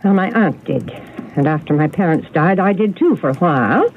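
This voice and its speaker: An actress playing a genteel elderly spinster in a radio drama, hospitable yet haunted and bitter, with an emotional way of telling things. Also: female voice, on the low side, expressive, normal speed, lightly acted. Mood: wistful, bitter. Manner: gracious, emotional storytelling. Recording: tinny, noisy old radio broadcast.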